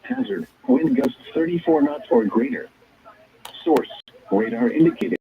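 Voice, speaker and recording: A man's voice: on the high side, lively intonation, measured pace, gravelly. Synthetic American male announcer on dull radio. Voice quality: synthetic text-to-speech voice. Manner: formal, impersonal, segmented phrasing. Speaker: automated weather radio announcer. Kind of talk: scripted weather bulletin narration. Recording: dull, band-limited weather radio broadcast.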